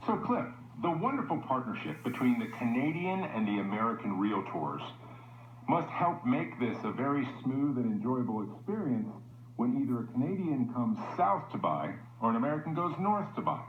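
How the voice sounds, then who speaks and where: Male radio interviewer, lively pitch movement, thin tinny radio sound in an echoey room. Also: moderate pace, a little hiss or hum.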